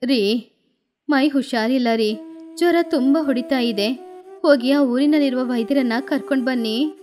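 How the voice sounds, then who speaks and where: A woman's voice: sits high, a little slow, a little mellow. A woman voicing a sick, exhausted village wife in a moral story, weary and pleading. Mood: weak, pleading.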